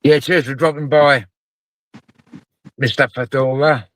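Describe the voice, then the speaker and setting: A man's voice: mid-range voice, fairly even intonation, measured pace, a bit bright. A man from the United Kingdom hosting a casual live stream, chatting in a laid-back way and laughing often. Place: outdoors or in a car.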